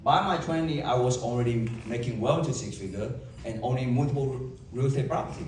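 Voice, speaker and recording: A young man with a Vietnamese accent giving an emphatic motivational keynote to a live audience, with dramatic pauses and rhetorical questions. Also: mid-range voice, darkish tone, slight background noise. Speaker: motivational speaker. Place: large event hall. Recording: echoey hall from far off.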